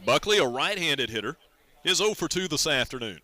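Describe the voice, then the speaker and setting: Bright-voiced man calling baseball on radio. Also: fairly high pitch, big pitch swings, fairly quick. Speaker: radio play-by-play announcer. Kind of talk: live baseball radio commentary.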